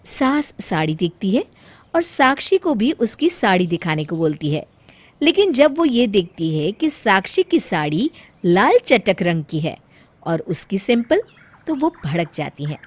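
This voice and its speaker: A woman storyteller reading a scripted tale in an expressive narrating style. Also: medium pitch, measured pace.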